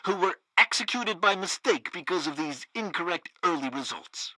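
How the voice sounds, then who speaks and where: An actor playing a zealous, loyal regime radio host in a comedic video game, with a pompous, theatrical delivery. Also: male voice, sits high, lively intonation, moderate pace, pushed and bright, dry recording, heavily acted. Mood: smug, earnest. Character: zealous loyal propaganda radio host, male, banana-republic sycophant.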